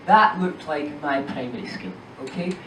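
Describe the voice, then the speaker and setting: A woman's voice: deep voice, animated, moderate pace, a little hiss or hum. A young Scottish woman performing a stand-up comedy set, chatty and full of "you know" and "right?" asides. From Scotland. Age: young adult. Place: comedy club stage. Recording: roomy club sound from a distant mic.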